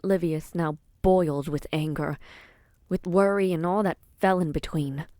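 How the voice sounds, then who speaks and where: A woman's voice: on the low side, steady tone, unhurried, dark tone, no echo, studio quality. A woman reading scripted dramatic story narration as the narrator of an audio roleplay.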